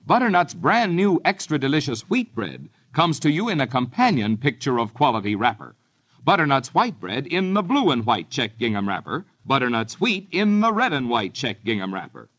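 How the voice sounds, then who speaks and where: A man's voice: mid-range voice, a bit bright. An American man reading an old-time radio commercial as announcer, enthusiastic and persuasive.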